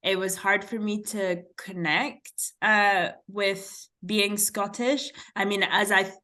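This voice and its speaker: Bright-voiced young woman, hard-to-place accent. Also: mid-range voice, fairly even intonation, slow pace. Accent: mixed French and British. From France. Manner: reflective, frequent fillers like "like" and "um". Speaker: guest. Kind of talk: casual interview conversation.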